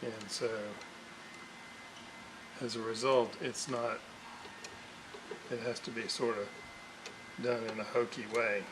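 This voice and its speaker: A man talks through a hands-on repair at a very slow pace, pausing and thinking aloud as he goes. His voice sits at a middling pitch. The recording carries clear background noise. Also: fairly even intonation. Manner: halting, thinking aloud while working. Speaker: hobbyist restorer presenting a repair video. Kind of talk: unscripted hands-on workbench demonstration.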